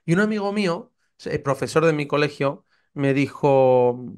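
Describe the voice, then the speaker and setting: A man's voice: fairly high pitch, expressive, moderate pace, a little mellow, smooth. A man in his thirties from Spain with a Castilian accent, a priest telling his faith story with earnest fervor. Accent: Castilian Spanish. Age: 30s. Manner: earnest, fervent storytelling, acts out his own past doubts. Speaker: priest, podcast guest. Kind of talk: personal testimony in conversation.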